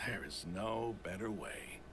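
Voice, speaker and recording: An actor playing a proud, resolute desert mercenary leader in a video game, speaking dramatically and persuasively over music. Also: male voice, low-pitched, big pitch swings, a little slow, heavy background noise. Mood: firm, confident. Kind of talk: video game character acting.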